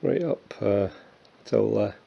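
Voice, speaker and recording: A man's voice: on the low side, animated, takes it slowly, gravelly, muffled recording. Chatty Scottish man in his fifties. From Scotland. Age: 50s. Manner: chatty, self-mocking, many fillers and restarts. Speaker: coin collector. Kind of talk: casual show-and-tell vlog.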